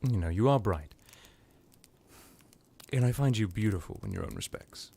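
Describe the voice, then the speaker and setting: A man's voice: lowish voice, animated, normal speed, gravelly, warm tone, slightly rough, heavily acted. A man playing an ancient vampire lord in an ASMR roleplay, seductive, teasing and menacing, with a theatrical delivery that drifts into whispers. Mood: admiring.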